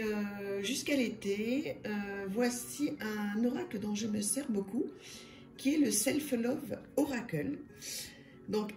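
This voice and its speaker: A slightly hoarse woman hosting her own channel, reviewing card decks in a soft, hesitant way full of fillers. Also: a little high, echoey room. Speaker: tarot and oracle channel host. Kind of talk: casual card deck review.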